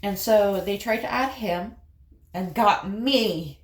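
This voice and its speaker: A woman's voice: medium pitch, slow, roomy sound. A woman with an Appalachian accent chatting casually as she does her makeup, rambling through a story with fillers and laughs. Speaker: vlogger. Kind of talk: casual chat while doing makeup.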